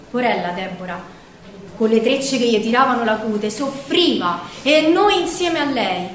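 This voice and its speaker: A woman with a Roman accent playing a feisty working-class partisan who recalls her girlhood in a colloquial, emphatic stage monologue. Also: fairly high pitch. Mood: indignant. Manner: colloquial, emphatic, dramatic storyteller. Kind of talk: theatrical first-person storytelling monologue. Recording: very echoey hall, distant mic, faint noise.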